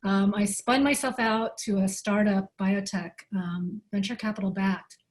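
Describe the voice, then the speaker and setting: A woman's voice: medium pitch, steady tone, measured pace. A woman in her fifties introducing herself on a panel in a chatty, friendly way. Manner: chatty, friendly, laughs lightly. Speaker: venture investor panelist.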